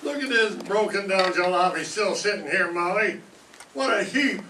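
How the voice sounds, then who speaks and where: An older man playing a gruff, blustering middle-aged husband in a 1940s-style radio comedy, grumbling and stammering. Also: high voice, lively intonation, moderate pace, pushed and bright. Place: classroom stage. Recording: echoey room from far off, faint noise.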